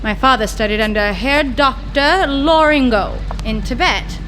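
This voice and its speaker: A woman's voice: high voice, measured pace, poor audio. An actress playing a blunt, sarcastic young woman investigating her scientist father's lab, in old-time radio drama style. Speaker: scientist's daughter. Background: music.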